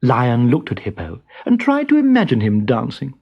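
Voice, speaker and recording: A storyteller giving an expressive narration of a children's storybook. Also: mid-range voice, moderate pace, breathy edge, lo-fi sound.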